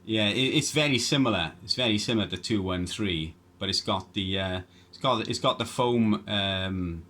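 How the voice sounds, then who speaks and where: A man's voice: on the low side, moderate pace, sharp tone. A man chatting casually as an amateur radio operator, hesitant and rambling as he goes. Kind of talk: casual two-way radio chat.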